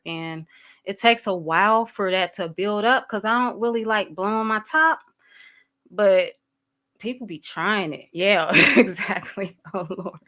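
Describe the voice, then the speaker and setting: A woman's voice: lowish voice, a bit bright. A woman in her thirties hosting a casual livestream, blunt, fired up and profane, with lots of fillers, speaking straight to her chat and greeting viewers by name. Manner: greets viewers by name and addresses them directly. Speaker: livestream host.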